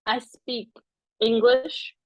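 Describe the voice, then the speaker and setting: A woman whose first language is Haitian Creole answers interview questions as an author. She speaks hesitantly, with many fillers and soft laughs. The sound is close and dry, with no room echo. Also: on the high side, little pitch movement, drawn-out words, dull audio. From South Florida. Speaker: author guest. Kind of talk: casual interview chat.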